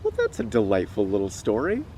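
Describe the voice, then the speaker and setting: A man's voice: fairly low pitch, wildly swooping pitch, a little fast, noisy background. A man hosting a casual read-aloud, moving between storytelling and chat. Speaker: host reading stories aloud.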